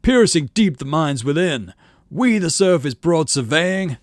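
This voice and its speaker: A man voicing a fanatical monstrous preacher, chanting in a grand, drawn-out declamation. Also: on the high side, very animated, no echo, studio quality, voice pushed up. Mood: fervent chanting.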